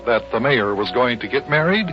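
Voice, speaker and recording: A man's voice: mid-range voice, fairly quick, over music, close to an everyday voice. An actor playing a hearty, patient, folksy grown man who also narrates an old-time children's radio serial. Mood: storytelling. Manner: animated, folksy, storytelling. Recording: muffled old radio broadcast recording.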